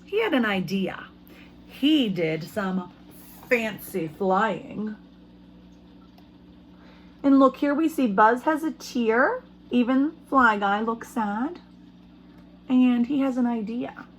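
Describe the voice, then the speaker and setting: A woman speaking as an elementary school teacher, reading aloud to children in simple, expressive language and putting questions to them. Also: a little high, slow pace, pauses often, a little hiss or hum.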